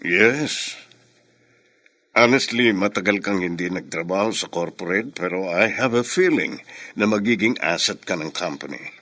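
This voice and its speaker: A Filipino man playing a businesslike corporate boss in radio drama, formal and courteous with measured authority. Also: deep voice, animated, sharp tone, exaggerated voice. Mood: encouraging. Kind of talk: Tagalog radio drama acting, mixing in English.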